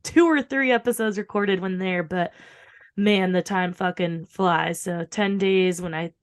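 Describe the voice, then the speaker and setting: A woman with a slightly hoarse, recovering voice, chatting casually and informally as a podcast co-host, with some swearing. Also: fairly low pitch, measured pace. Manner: rambling, informal, frequent swearing. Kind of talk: casual chat.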